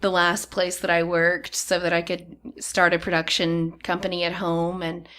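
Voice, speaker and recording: A woman guest on a podcast, talking in relaxed casual chat about her radio work, laughing easily. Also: fairly low pitch, moderate pace.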